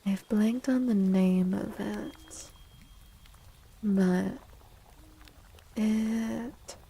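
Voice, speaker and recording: A woman streamer chatting in soft-spoken ASMR style, gentle and unhurried, often slipping into a whisper. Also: mid-range voice, steady tone, creaky, breathy edge. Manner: unhurried, gentle, pausing between short phrases. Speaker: livestream host.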